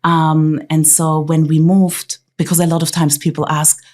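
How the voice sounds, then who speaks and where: Woman from Austria, low voice, halting, lots of ums, podcast guest. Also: little pitch movement, a little mellow. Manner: halting, many ums, laughs easily. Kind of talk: telling her life story.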